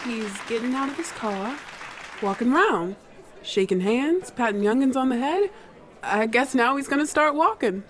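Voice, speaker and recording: A woman's voice: on the high side, expressive, normal speed, some chatter behind, a little hiss or hum, close to an everyday voice. An actress playing a respectful but dryly skeptical female assistant in a 1920s audio drama. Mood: observant. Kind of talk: period audio drama acting.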